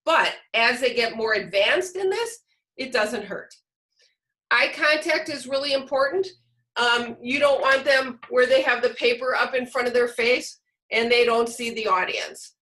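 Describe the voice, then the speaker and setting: Female instructor, bright tone, unhurried and halting, talking informally through a lesson. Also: on the high side. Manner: halting, searching for words. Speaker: teacher leading a training session.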